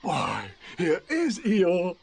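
A man puts on a gruff storybook bear, friendly and simple. The pitch sits fairly high and moves around a lot, and the delivery is earnest and unhurried. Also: huge pitch swings, darkish tone, full character voice. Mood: eager. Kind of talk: children's storybook character voice.

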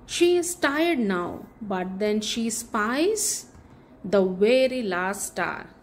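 A woman reading a children's picture book aloud as a bedtime story, in an expressive, deliberate storytelling manner with drawn-out phrases. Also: mid-range voice, slight background noise.

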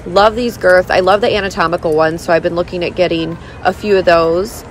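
A woman's voice: on the low side, fairly even intonation, a little fast, slight background noise. A woman in her thirties narrating a casual vlog in a gushing, enthusiastic manner. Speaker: vlogger and horse owner.

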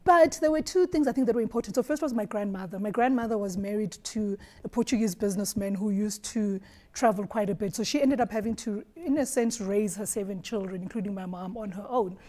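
A woman from Eswatini on a studio panel, telling stories in an animated way and laughing often, recorded on a lapel mic. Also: medium pitch, a little fast. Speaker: marketing executive panelist. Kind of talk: roundtable discussion. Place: dark studio set at a round table.

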